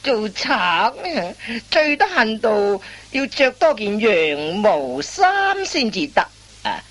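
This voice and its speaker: Crafty master thief for radio comedy, unhurried lecturing, voice goes up and down a lot, lots of little interjections. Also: female voice, mid-range voice, very animated. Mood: fussy, advising. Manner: instructive, drawn-out phrasing full of interjections. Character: wily veteran master thief schooling an apprentice. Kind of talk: Cantonese comic radio play acting.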